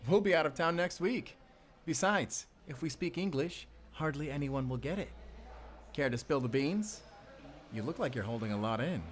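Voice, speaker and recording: A man puts on a chatty American tourist in an audio roleplay. The voice is lifted high above his usual one, naive and over-eager. He rambles goofily in an American accent, with background noise behind him. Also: lively intonation, moderate pace, close to an everyday voice. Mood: coaxing, chummy.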